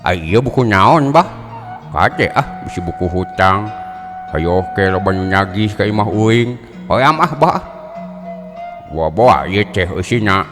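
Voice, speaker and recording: A man's voice: fairly low pitch, expressive, unhurried. Man doing a jittery young villager. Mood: joking. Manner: nervous, stammering, joking. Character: frightened, plain-spoken young village man. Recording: folk music playing behind.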